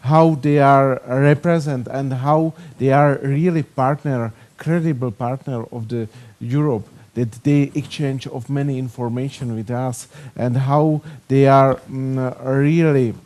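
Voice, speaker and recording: A man from the Czech Republic with a Czech accent, speaking halting non-native English with many fillers at a conference panel. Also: mid-range voice, steady tone, darkish tone.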